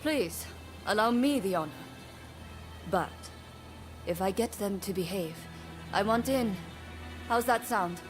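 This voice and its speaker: An actress playing a bold, confident young swordfighter in a game cutscene, polite yet challenging. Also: female voice, medium pitch, expressive, takes it slowly, pauses often, faint music behind. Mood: eager. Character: bold, confident female swordfighter eager to prove herself. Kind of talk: scripted game cutscene acting.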